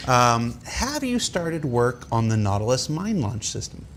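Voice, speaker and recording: A man's voice: medium pitch, very animated, a little slow, a bit bright, a little hiss or hum. A man hosting a developer question session, moderating casually and reading out questions.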